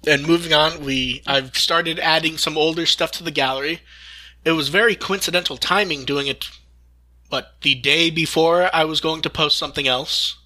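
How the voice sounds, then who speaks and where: A man's voice: a little high, fairly even intonation, normal speed, sharp tone, poor audio. A man hosting a podcast, talking casually through news with a wry, dry sense of humour. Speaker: podcast host.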